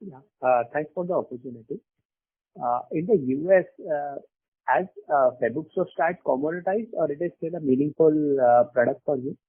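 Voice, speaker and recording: A man's voice: fairly high pitch, moderate pace, breathy edge, no echo. A man, an analyst asking questions on an earnings call with a hesitant delivery. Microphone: telephone. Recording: dry, narrow phone line.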